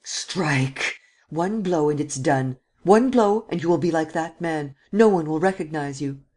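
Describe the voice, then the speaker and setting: A woman's voice: low voice, a little slow, darkish tone. A woman reading the part of a ruthless, commanding male nobleman in an audiobook, speaking with vehemence. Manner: imperious, vehement.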